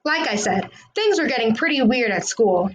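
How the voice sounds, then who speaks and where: A woman's voice: on the high side, lively intonation, normal speed, slight background noise, rough recording. A woman reading a children's storybook aloud, narrating expressively in the words of a school kid. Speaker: children's read-aloud host.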